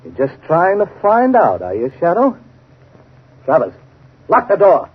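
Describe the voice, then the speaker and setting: An actor playing a cunning, menacing crime boss with sneering, commanding delivery in a 1930s radio mystery style. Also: male voice, on the high side, huge pitch swings, a little slow, slightly rough, exaggerated voice. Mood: sneering, controlled. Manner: sneering, commanding, clipped orders. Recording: dull 1930s radio recording.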